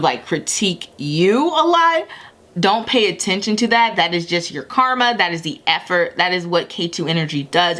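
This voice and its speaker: A woman's voice: fairly low pitch, fairly bright tone. A woman giving a casual tarot and astrology reading to her viewers, talking in a chatty, rambling way with many self-corrections and frequent "okay?" check-ins.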